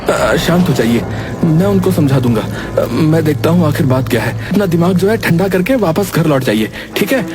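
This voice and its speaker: A man voicing a calm, earnest shopkeeper and father who soothes others and pleads when wronged. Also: on the high side, moderate pace, natural voice. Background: background music.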